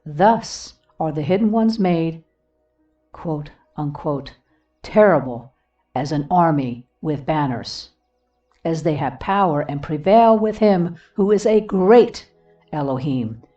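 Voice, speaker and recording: A woman reading a devotional text and scripture references aloud in a solemn, deliberate manner, pausing between short phrases. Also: deep voice, lively intonation, mellow. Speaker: reader of a devotional book. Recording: dull lo-fi, distant mic, music behind.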